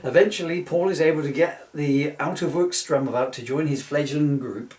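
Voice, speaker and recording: A man's voice: fairly high pitch, normal speed, darkish tone. A man giving an informal comic reading, rambling with asides and prone to giggling at his own material. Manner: rambling, often breaking into giggles at own jokes. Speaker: author reading his parody book. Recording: roomy echo, distant microphone.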